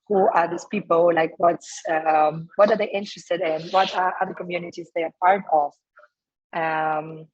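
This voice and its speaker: A woman in her thirties from Ukraine, hoarse and losing her voice, talking casually as a guest with many fillers and restarts. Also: fairly low pitch, dry recording, highs cut off. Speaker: guest, startup co-founder. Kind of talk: casual interview answers.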